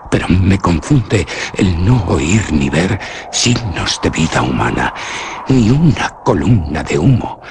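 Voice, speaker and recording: An actor with a Castilian Spanish accent playing a haunted, feverish man lost among ancient graves in a radio drama, brooding and hushed, with dramatic pauses. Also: low-pitched, big pitch swings, background noise, exaggerated voice. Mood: anxious, confused. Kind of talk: dramatic radio theatre monologue.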